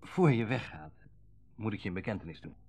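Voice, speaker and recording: Low-voiced, musing middle-aged car dealer. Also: male voice, expressive, fairly quick, a little mellow, breathy edge. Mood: confiding. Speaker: actor in a radio drama. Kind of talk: Dutch radio play acting, naturalistic dialogue.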